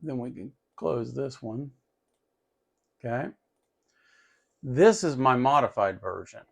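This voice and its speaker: Man with a creaky voice explaining tech. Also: medium pitch, lively intonation, slow. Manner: explains step by step while working, repeating himself. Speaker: electronics tutorial host. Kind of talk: casual unscripted hands-on software tutorial.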